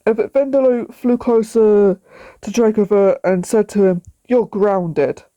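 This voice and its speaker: A woman's voice: mid-range voice, normal speed, warm tone, dry recording. A woman narrating a story aloud, sometimes stumbling and repeating words.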